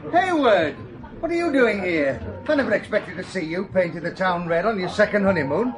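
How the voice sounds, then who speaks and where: Jolly english gent, high lively voice, chatty host type in an old spy thriller. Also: male voice, normal speed, a crowd in the background, rough recording. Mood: jovial. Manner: hearty, chatty, urbane. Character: jovial, sociable English gentleman out nightclubbing. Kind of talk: spy thriller audio drama acting.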